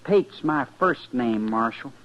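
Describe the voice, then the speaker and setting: A man's voice: medium pitch, expressive, slow pace, fairly bright tone. An American man acting in an old-time radio western as a stubborn sixteen-year-old cowboy, terse, rustic and defiant. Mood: grimly determined. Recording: dull vintage radio recording.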